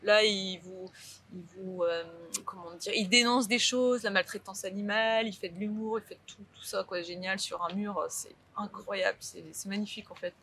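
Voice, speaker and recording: A woman's voice: mid-range voice, fairly even intonation, normal speed, sharp tone, slight background noise. A young woman from Brittany, a painter, talking casually in an interview with many fillers and restarts.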